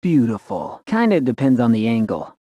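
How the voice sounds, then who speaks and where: Easygoing young soldier, lively intonation. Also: male voice, mid-range voice, moderate pace, no echo. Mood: playful, fond. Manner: casual, boyish, earnest. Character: easygoing, upbeat young male ex-soldier, loyal and earnest. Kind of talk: video game voice acting.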